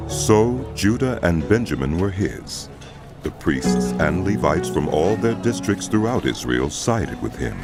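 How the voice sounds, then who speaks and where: A man narrating scripture as a scripted drama, measured and solemn, with music behind his voice. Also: a bass voice. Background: loud music.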